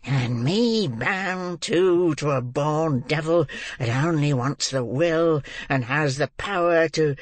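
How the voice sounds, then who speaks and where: A man voicing a crafty old criminal boss in a storybook reading, with a croaking, breathy voice and drawn-out, scheming delivery. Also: mid-range voice, sing-song, fairly bright tone, dry recording, exaggerated voice. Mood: enraged.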